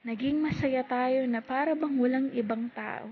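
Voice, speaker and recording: Casting: Filipino woman for heartfelt spoken word, slightly high husky voice, tinny phone-like recording. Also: moderate pace. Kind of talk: spoken-word poetry, dramatic heartfelt monologue.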